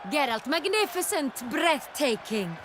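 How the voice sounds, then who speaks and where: An actress playing an effusive, imperious duchess in a video game, speaking grandly. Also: female voice, high voice, big pitch swings, a little slow. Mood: delighted, imperious. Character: regal duchess, adult woman, effusive and commanding. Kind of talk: video game dialogue acting. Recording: noisy crowd ambience behind.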